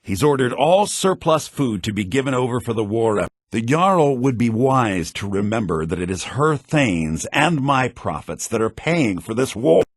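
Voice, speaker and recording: An actor playing a haughty, grumbling fantasy townsman in a video game, theatrical and sardonic, in low-bitrate audio. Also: very animated, moderate pace, hardly any pauses, breathy edge, dry recording. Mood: haughty, calculating. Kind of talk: video game character voice lines.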